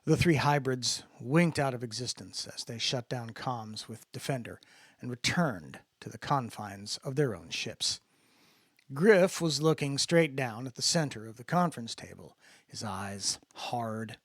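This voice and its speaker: A man with a mid-range voice presents a story in an expressive, dramatic reading style. The sound is dry, with hardly any room in it. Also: medium pitch, measured pace, no echo. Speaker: narrator reading own book aloud. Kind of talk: casual introduction, then dramatic audiobook-style reading.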